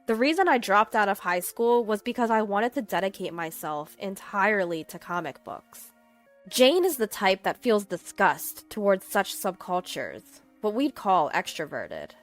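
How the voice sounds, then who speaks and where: A young woman narrating her own story calmly in the first person. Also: medium pitch, unhurried. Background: faint music.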